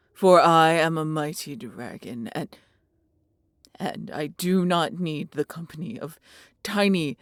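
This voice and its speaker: A woman voicing a mighty female dragon, proud yet caring, with breathy, husky tones and archaic, theatrical phrasing in a fantasy audio roleplay. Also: sits low, no echo, clean studio sound. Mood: commanding, booming.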